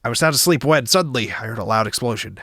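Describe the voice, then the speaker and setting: A man's voice: medium pitch, expressive, fast, creaky, fairly bright tone, no room sound, studio quality. A man streaming, reading a visual novel aloud expressively with casual asides.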